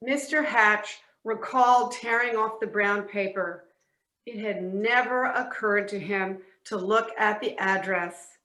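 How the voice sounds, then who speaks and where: A woman's voice: medium pitch, takes it slowly, sharp tone. A woman narrating a children's story for a readers theatre performance in an echoey room.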